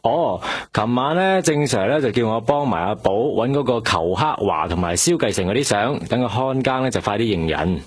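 Curious young detective, slightly husky. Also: male voice, medium pitch, animated, normal speed, few pauses. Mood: curious, chatty. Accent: Hong Kong Cantonese. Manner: casual, inquisitive. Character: curious, eager young male junior police detective. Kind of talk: radio drama dialogue.

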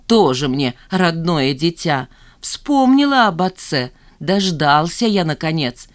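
Lady doing grumpy sly old dad in an audiobook, drags words out slow, needling, self righteous, dry sound. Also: on the low side, expressive, no echo. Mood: sarcastic. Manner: slow, drawling, needling delivery. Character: grumbling, sly, self-righteous old man, an estranged father. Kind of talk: audiobook character dialogue.

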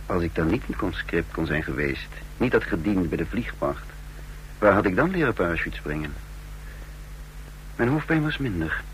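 An actor playing a bewildered fugitive with no memory, brooding and halting as he tells his story in a radio drama. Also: medium pitch, a bit bright. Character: bewildered amnesiac man, escaped condemned prisoner, telling his story. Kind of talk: radio drama acting, brooding first-person narration. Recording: noisy, humming old radio drama recording.

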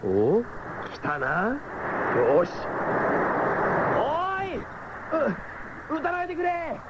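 An actor playing an eager, excitable cyborg fighter in a radio drama. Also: male voice, very high-pitched, slow pace, heavily acted. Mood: excited. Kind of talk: 1970s radio drama acting. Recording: noisy, dull 1970s radio recording.

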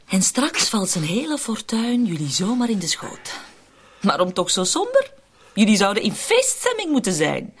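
A woman's voice: mid-range voice, wildly swooping pitch, moderate pace, a little hiss or hum. An actress playing a cheerful, shrewd young woman who is an accomplice in crime, teasing and flippant, in a radio play. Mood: gleeful. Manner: teasing, flippant, self-possessed. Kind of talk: Dutch-language radio play acting.

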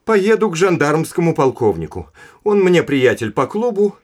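An actor in an audio drama playing a haughty, indignant aristocratic official who speaks in an emphatic, scornful and domineering way. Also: male voice, a little high, very animated, moderate pace. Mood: imperious. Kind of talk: Russian audio drama acting.

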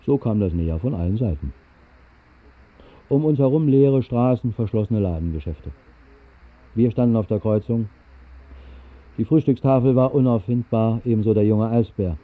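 A man narrating a scripted literary radio play in a measured, articulate way with deliberate emphasis. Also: medium pitch. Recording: muffled, narrow-band 1960s radio recording.

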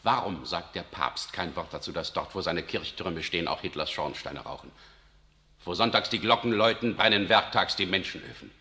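An actor playing an earnest German visitor in a 1960s German radio drama, speaking in a grave, measured and urgent way. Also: male voice, low voice, bright, forceful tone. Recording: reverberant radio studio.

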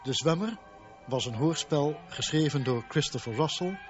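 A man's voice: on the high side, animated, normal speed. A man working as a closing announcer, reading scripted credits for a radio play. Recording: dull radio recording with music behind.

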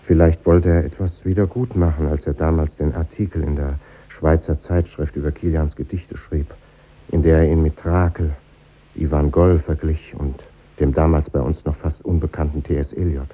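A man's voice: a bass voice, fairly even intonation, moderate pace, warm tone, slightly rough, full character voice. An actor playing a reflective middle-aged man, narrating his memories in a 1950s radio drama. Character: reflective middle-aged male composer recalling his schoolmates. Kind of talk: scripted first-person radio drama narration. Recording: muffled old radio recording.

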